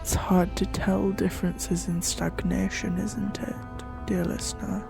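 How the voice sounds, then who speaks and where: Horror podcast narrator: very slow, creaky mid-pitched voice, measured and theatrical, musing to the listener, music underneath. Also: little pitch movement. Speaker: narrator and host of an audio horror fiction show. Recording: music behind the voice. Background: background music.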